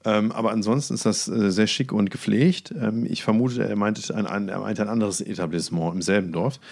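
A man's voice: on the low side, fairly even intonation, a little fast, gravelly, a little mellow. A man telling anecdotes as a podcast co-host, hesitant and wryly understated.

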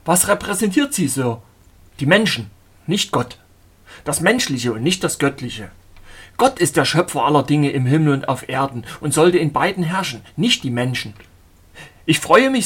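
A man reading an audiobook voices a fanatical male preacher who rants in a husky, declamatory way. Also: fairly high pitch, voice pushed up. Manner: shouting, declamatory.

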